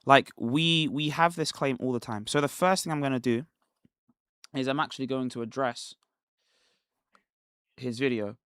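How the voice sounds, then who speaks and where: A man from Nigeria hosting a casual commentary livestream, talking in an animated, rhetorical way with laughs between points. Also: medium pitch, moderate pace, long pauses, smooth, no room sound, studio quality. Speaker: livestream host.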